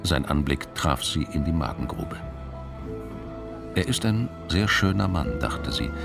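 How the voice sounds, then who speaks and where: An actor as the narrator of a crime radio play, reading literary prose in a measured, descriptive way. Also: male voice, very deep voice, big pitch swings, vocal fry, music in the background, heavily acted. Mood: measured, intense. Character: male narrator of a crime story.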